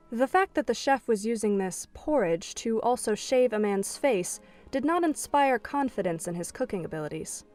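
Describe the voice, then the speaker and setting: Woman narrator, scripted storytelling, mid-range voice, faint music behind. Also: medium pitch.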